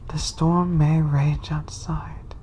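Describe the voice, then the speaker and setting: A woman voicing a possessive, soft-spoken yandere bunny boy in a breathy, coaxing ASMR whisper. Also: sits low, little pitch movement, slight background noise. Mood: tender, possessive. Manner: slow, soothing, possessive. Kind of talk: ASMR roleplay.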